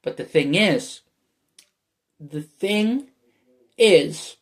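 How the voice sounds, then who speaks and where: Young american guy rambling on in a vlog, kinda high voice, lots of um and like. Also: expressive, very slow. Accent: American, often heard as Canadian. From United States. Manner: meandering, many fillers and restarts, chuckles. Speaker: vlogger. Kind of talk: casual rambling monologue.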